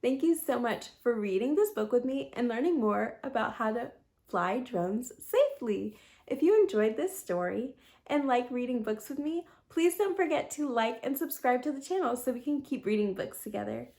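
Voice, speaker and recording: Bright woman reading a children's story. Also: fairly high pitch, moderate pace. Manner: bright, expressive read-aloud delivery. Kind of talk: reading a picture book aloud to kids. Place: home library. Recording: steady background hum.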